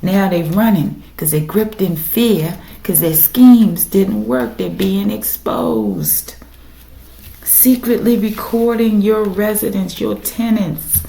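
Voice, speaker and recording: A woman with a raspy voice doing a casual tarot reading for her viewers, speaking emphatically and often checking in with "okay?". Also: medium pitch, lively intonation, takes it slowly, dark tone. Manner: emphatic, repeats "okay?" to the audience. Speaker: tarot reader. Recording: echoey room with faint noise.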